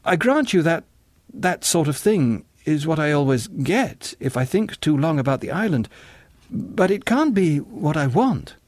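A man's voice: on the high side, very animated, measured pace, no room sound, studio quality, pitched above the performer's own voice. A man voicing an earnest, naive young man in an audiobook, hesitant and politely protesting. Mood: troubled, protesting.

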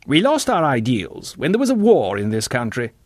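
A man's voice: medium pitch, huge pitch swings, normal speed, no room sound, clean studio sound. A man voicing pretentious, scornful young intellectuals in an audiobook, with affected disdain. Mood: self-pitying.

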